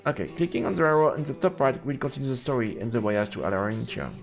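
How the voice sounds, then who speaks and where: Animated, creaky-voiced man streaming. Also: mid-range voice, fast. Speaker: streamer reading a visual novel aloud. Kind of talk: live let's play, reading narration with reactions. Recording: background music under the voice. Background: game music.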